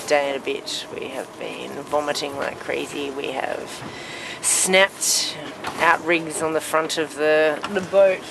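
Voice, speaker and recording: A person recording a casual, informal video diary and putting questions to crewmates inside a small boat. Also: gravelly. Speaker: crew member filming and interviewing crewmates. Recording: noisy boat cabin with engine rumble.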